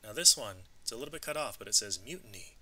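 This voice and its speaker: A man narrating an educational storybook read-aloud, with expressive, clearly emphasised delivery. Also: fairly low pitch, quick pace, low-quality recording.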